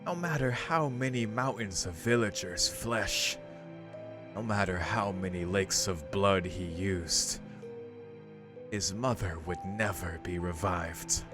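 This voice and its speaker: Low-voiced man doing game commentary. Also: takes it slowly. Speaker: visual novel let's play host. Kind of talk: casual playthrough commentary, reading translated dialogue aloud. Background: game music.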